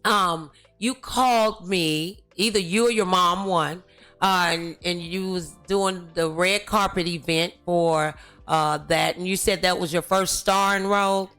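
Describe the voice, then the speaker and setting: A woman's voice: on the low side, fairly even intonation, a little slow, a bit bright, faint music behind. A woman hosting a casual interview in a folksy, rambling, familiar way. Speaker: talk show host.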